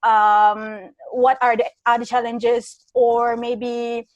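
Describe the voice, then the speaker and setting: A woman's voice: on the high side, monotone, slow pace, dry recording, highs cut off. A woman asking a guest a question on a video call, hesitant and full of "uh". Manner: hesitant, many uhs.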